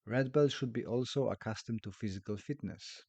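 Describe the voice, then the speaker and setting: A man with a croaky voice hosting a podcast from home, explaining things in a relaxed, rambling way with plenty of fillers. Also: mid-range voice, normal speed, no room sound. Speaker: podcast host and karate instructor. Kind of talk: casual solo podcast talk.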